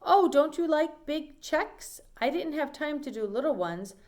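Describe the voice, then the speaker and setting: A woman's voice: sits high, animated, a little slow, darkish tone, voice pushed up. Lady reading as a chirpy storybook maid, takes everything literally, earnest n totally sure of herself, kinda raised voice. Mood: cheerful, unbothered. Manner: chirpy, earnest, matter-of-fact. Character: cheerful, literal-minded housemaid, blithely sure of herself.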